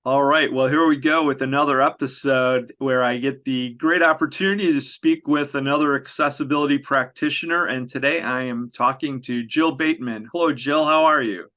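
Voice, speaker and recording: Bright-voiced man hosting an interview. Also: medium pitch, moderate pace, few pauses. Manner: friendly, with frequent fillers and restarts. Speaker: podcast host. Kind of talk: conversational interview. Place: home office.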